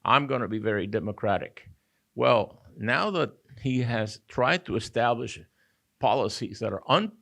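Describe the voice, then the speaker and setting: An older man from Cuba, speaking as a panelist in halting, earnest roundtable remarks. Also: mid-range voice, vocal fry, fairly bright tone. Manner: halting, with restarts and fillers.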